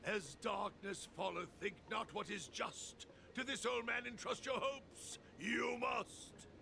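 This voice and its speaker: A man's voice: high voice, steady tone, slow, creaky, a little hiss or hum, exaggerated voice. A man playing a melodramatic, dutiful old male artisan in a fantasy game, florid and prone to stammering. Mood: melodramatic resolve. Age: older. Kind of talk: theatrical video game voice acting. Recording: loud game music behind.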